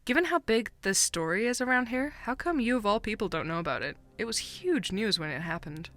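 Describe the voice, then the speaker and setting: A woman's voice: medium pitch, expressive, fairly quick, a bit bright, dry recording, faint music behind. An actress playing a keen, teasing young woman who works as an amateur sleuth in an audio drama. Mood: incredulous.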